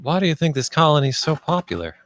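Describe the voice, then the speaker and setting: A man's voice: fairly high pitch, very animated, fast talker, a bit bright, no room sound. Man playing a wry, easygoing, ailing guy. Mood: wry, matter-of-fact. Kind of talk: screenplay table read.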